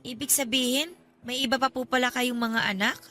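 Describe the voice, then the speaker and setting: A woman's voice: high voice, steady tone, fairly quick, pushed and bright. An actress playing a polite, guarded young female college student in a radio drama, speaking with hesitant reserve. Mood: curious. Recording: music under the voice.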